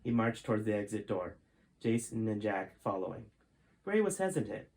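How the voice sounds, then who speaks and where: A man's voice: on the low side, very animated, normal speed, a little mellow. A man reading his own adventure book aloud as a storyteller, in scripted narration.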